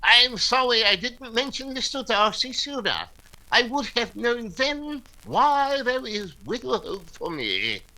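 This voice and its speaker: A man's voice: very high voice, big pitch swings, measured pace, pushed and bright, a little hiss or hum, heavily acted, poor audio. A man playing a pompous, fussy clergyman suitor who speaks formally in a period radio comedy. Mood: wounded.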